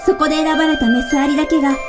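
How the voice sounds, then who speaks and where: High-pitched, dreamy girl ant played by a woman. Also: fairly even intonation, very fast, loud music behind the voice, close to an everyday voice. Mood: earnest. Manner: girlish, earnest. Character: sweet, dreamy, determined young female ant. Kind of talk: cartoonish radio drama acting.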